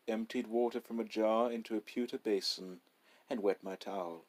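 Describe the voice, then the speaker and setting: A man reading a literary short story aloud as narrator, in a measured, dramatic style. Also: lowish voice.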